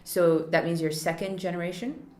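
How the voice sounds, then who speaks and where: Low-voiced woman hosting in an echoey room. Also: normal speed, darkish tone. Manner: earnest, searching for words, with restarts. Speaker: podcast host. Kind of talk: casual conversation. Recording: roomy echo with faint background noise.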